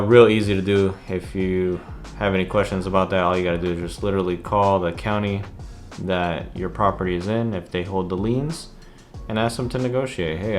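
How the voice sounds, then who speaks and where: Low-voiced man vlogging casually. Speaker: vlog host, real estate investor. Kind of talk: casual vlog talk to camera.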